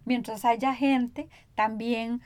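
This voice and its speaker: Higher-voiced Costa Rican woman, dry sound. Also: fairly even intonation, drawn-out words, darkish tone, dry recording. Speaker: radio program host. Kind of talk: conversational radio interview.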